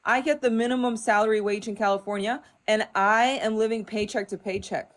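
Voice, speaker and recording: A woman's voice: a little high, fairly even intonation, brisk. A woman in her thirties who grew up in Denmark, calling into a live stream and ranting with emphatic, fed-up bursts. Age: 30s. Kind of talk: impassioned rant.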